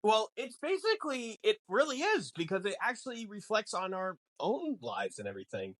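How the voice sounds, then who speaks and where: A man's voice: high voice, wildly swooping pitch, moderate pace, fairly bright tone, no room sound. A man co-hosting a podcast, explaining things casually in an emphatic, rambling way. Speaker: podcast co-host. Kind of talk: casual film discussion podcast.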